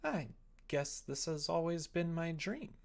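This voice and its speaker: A man's voice: on the high side, very animated, measured pace, creaky, darkish tone, voice pushed up, exaggerated voice. A man voicing a cheerful, self-assured young idol girl in a visual novel. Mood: excited. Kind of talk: visual novel read aloud.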